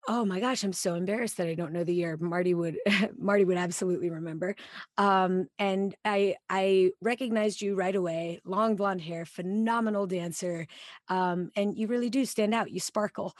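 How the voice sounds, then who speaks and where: A woman's voice: lowish voice, a little mellow, no room sound. A woman hosting a podcast, speaking into a studio mic with headphones on, in effusive, chatty casual talk. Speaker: podcast host. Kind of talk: casual interview chat.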